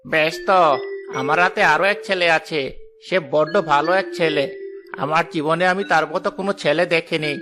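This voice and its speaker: A somewhat high male voice of an affable village matchmaker played by a man, selling matches in a cartoon tale. Clear music sits behind the speech. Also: fairly even intonation, moderate pace, close to an everyday voice. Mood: affable, persuasive. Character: affable village matchmaker praising prospective grooms. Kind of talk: cartoon story voice acting.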